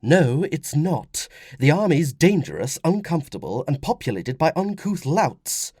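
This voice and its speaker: A man voicing a young Roman mother in an audiobook, firm, fretful and gently insistent. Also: on the high side, very animated, unhurried, slightly rough, no room sound, studio quality, pitched above the performer's own voice, heavily acted. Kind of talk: audiobook character dialogue.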